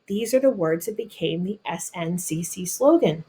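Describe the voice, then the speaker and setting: A woman reads a children's picture book aloud, deliberately, adding simple explanations in her own words as if talking to children. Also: fairly low pitch, expressive, dark tone, slightly rough. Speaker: storybook reader.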